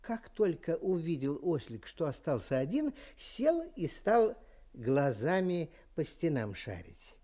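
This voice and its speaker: A man's voice: on the high side, very animated, slow, mellow. A man narrating a fairy tale expressively as a storyteller.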